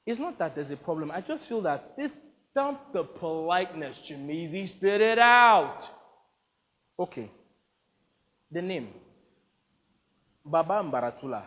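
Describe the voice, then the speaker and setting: A polite young actor speaks in careful, measured lines, as a man plays him. His voice is high and lively. A long echo follows him. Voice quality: measured, respectful delivery.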